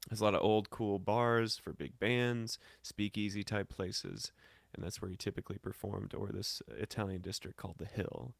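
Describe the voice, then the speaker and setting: An American man from St. Louis telling casual stories as an interview guest, in slangy, animated talk. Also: fairly low pitch, moderate pace, gravelly, no echo. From St. Louis, Missouri, United States. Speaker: podcast guest, singer and musician. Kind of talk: casual conversational storytelling.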